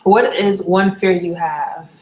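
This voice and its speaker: A woman's voice: fairly low pitch, steady tone, takes it slowly, darkish tone. A young woman from Barbados chatting casually on a live stream, candid and rambling, often correcting herself mid-sentence. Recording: echoey room.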